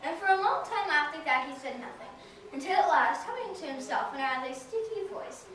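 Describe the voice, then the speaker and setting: Casting: schoolgirl storybook narrator, high voice, recorded from far off. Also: expressive, brisk, fairly bright tone. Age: child, about ten or eleven. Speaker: narrator in readers theater. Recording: distant echoey room, noisy recording.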